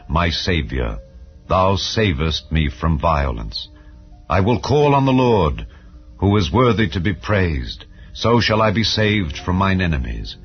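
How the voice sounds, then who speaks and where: A man narrating scripture in a solemn, dramatized style, with measured, declamatory delivery of archaic English. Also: low-pitched. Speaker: audiobook Bible narrator. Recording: dull, lo-fi audio under music. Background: background music.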